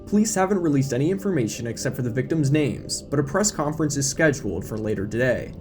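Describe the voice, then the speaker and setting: A man voicing a composed, formal television newscaster with measured, factual delivery in an audio drama. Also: medium pitch, lively intonation, a little mellow, soft background music, close to an everyday voice. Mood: grave, factual. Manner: measured, factual news reporting.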